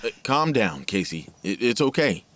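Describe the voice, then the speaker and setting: An actor playing a worried, gentle middle-aged father in an audio drama, with a soothing, measured manner. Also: on the low side, big pitch swings. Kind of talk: naturalistic audio drama acting.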